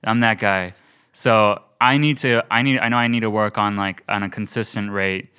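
A man's voice: on the low side, fairly even intonation, moderate pace, bright, forceful tone, smooth. A young man co-hosting a podcast, talking earnestly and thinking aloud with many restarts. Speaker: podcast co-host. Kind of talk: casual podcast chat.

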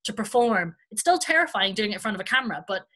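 A woman's voice: medium pitch, big pitch swings, fast, a bit bright. An Irish woman, a children's performer hosting a podcast, chatting casually. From Ireland.

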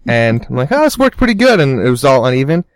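A man's voice: mid-range voice, very animated, fast talker, dry recording. A young man chatting casually on a podcast, telling a story with self-mocking laughs. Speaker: podcast co-host. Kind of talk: casual chat.

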